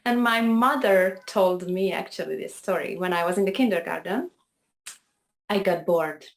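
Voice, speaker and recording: A woman's voice: lowish voice, normal speed, creaky, a little hiss or hum. A woman from Hungary with a Hungarian accent, a piano teacher talking earnestly about her path as an interview guest over a video call. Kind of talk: conversational storytelling.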